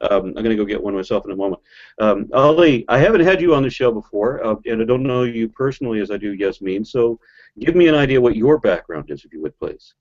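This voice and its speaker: A man's voice: lowish voice, lively intonation, fast talker, a little mellow, lo-fi sound. A man hosting a podcast, asking his guests questions in an informal, hesitant way. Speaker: podcast host. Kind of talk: casual podcast interview.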